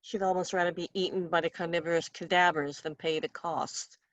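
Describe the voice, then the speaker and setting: A woman's voice: on the low side, fairly even intonation, fairly quick, no room sound. Wry woman reading a story aloud, dry sound. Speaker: author reading own short story. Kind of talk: expressive literary story reading.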